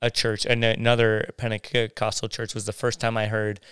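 Soft-spoken halting man, very clear voice. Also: on the low side, completely flat delivery, no echo, studio quality. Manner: halting, many restarts and fillers. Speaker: guest sharing his faith story. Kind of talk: casual conversational interview.

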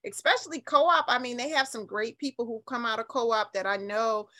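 A middle-aged American woman with a fairly high, forceful bright voice. She speaks as a radio guest in an animated, colloquial storytelling style, leaning on her words with emphasis. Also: normal speed. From New Haven, United States. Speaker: radio interview guest, nonprofit leader. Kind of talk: animated conversational interview.

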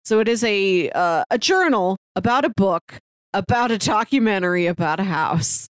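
A woman with a mid-pitched voice co-hosts a casual discussion podcast. She explains things informally, and the sound is very dry, with no room echo. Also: lively intonation, moderate pace. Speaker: podcast co-host.

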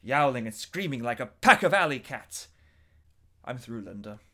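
A man's voice: mid-range voice, sing-song, moderate pace, bright, forceful tone, exaggerated voice. A man playing a stern, exasperated, long-suffering husband, with a weary, indignant delivery in melodramatic radio horror style. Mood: bitter, weary.

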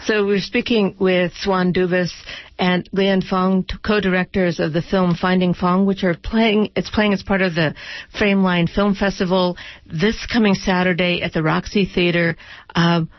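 A woman's voice: fairly low pitch, fairly even intonation, normal speed, dry recording, muffled recording. A woman hosting a radio interview in a conversational, unscripted way.

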